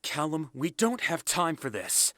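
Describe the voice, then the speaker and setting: A man playing a brisk, thoughtful young adventurer in a fantasy visual novel, with a husky voice. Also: fairly high pitch, sing-song, bright, forceful tone, no room sound, studio quality, exaggerated voice. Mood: impatient.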